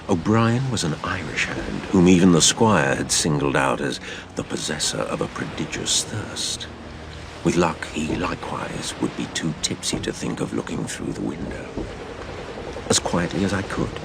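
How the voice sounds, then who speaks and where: A very low-voiced man narrates an adventure tale with a heavy creak. His theatrical, measured delivery moves widely in pitch, over clearly audible background noise. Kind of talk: dramatised storybook narration.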